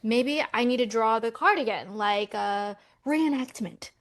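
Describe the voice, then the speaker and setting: An actress as an anxious millennial twin with a fairly high voice, reading a romcom script. She is practical and insistent, earnest in a dramatic way and easily exasperated. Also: unhurried. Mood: focused, anxious. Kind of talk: table read of romcom script.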